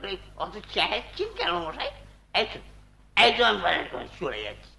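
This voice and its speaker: Creaky, shrill drunken old landlord. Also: male voice, wildly swooping pitch, fairly quick, music in the background, heavily acted. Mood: besotted, petulant. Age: older. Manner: whining, exclaiming, drunken theatrical delivery. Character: drunken lovesick old landlord, wheedling and whining. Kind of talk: Bengali jatra folk theatre acting.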